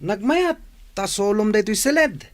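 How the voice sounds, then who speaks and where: A man's voice: high voice, animated, normal speed, dry recording. A man playing a brash, joking young cousin in a radio comedy drama, teasing and exclaiming. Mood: playful, teasing. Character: brash, girl-crazy young male cousin, joking sidekick.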